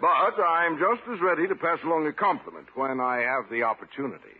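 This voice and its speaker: An actor playing a stern, impatient small-town mayor with a brusque, authoritative manner in a 1950s radio comedy. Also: male voice, on the high side, brisk, slight background noise, lightly acted. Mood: approving. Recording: muffled, lo-fi 1950s radio recording.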